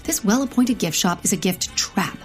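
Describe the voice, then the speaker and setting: A woman's voice: medium pitch, expressive, normal speed, soft background music, close to an everyday voice. An actress playing an earnest, principled female sleuth in a comedic audio drama, scolding and incredulous in manner. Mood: indignant.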